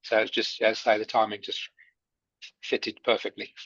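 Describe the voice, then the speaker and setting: A man's voice: lowish voice, completely flat delivery, normal speed, a bit bright, no echo. A man taking part in an online class, talking casually and a bit hesitantly over a video call. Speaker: participant. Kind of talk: casual chat. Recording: dull lo-fi dry video call audio.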